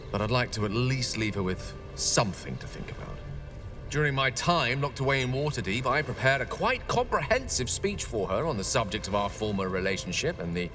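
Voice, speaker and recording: A man playing a proud, witty wizard in a video game, eloquent, theatrical and wry, nursing old heartbreak. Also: fairly low pitch. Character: proud, witty male wizard, wounded ex-lover of a goddess. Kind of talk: dramatic video game dialogue.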